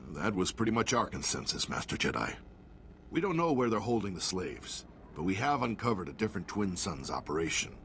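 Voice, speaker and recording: A plainspoken frontier leader speaks earnestly in a lowish voice. His intonation is very varied, with slight background noise in this game scene. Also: big pitch swings, a little fast, a bit bright, exaggerated voice. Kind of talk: video game voice acting.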